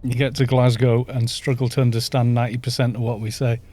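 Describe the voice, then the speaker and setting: A man's voice: mid-range voice, little pitch movement, fast, dark tone. Chatty North Welsh man streaming. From North Wales. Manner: chatty, rambling, chuckles often, reads chat aloud. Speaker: streamer leading a game group. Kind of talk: casual live-stream chat while gaming. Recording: faint background music.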